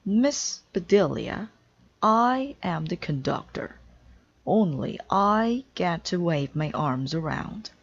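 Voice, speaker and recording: A woman's voice: fairly low pitch, lively intonation, slow pace, highs cut off, full character voice. A woman reading a children's storybook, playing a strict, impatient male orchestra conductor with a stern, emphatic delivery. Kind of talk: children's storybook read-aloud.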